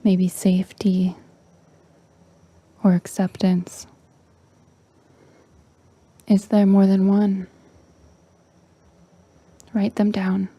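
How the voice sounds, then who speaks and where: Woman, meditation guide, soft breathy delivery, even and steady pitch, dry close sound, calm, gentle. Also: dry recording. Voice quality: soft, breathy, often near a whisper. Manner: calm, gentle, unhurried with long pauses. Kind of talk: slow guided meditation narration.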